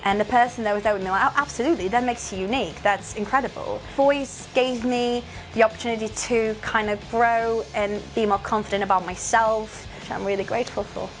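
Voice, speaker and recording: A woman's voice: on the high side, steady tone, brisk, poor audio. Woman with a Portuguese accent. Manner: earnest, grateful. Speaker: community radio presenter. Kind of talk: documentary interview.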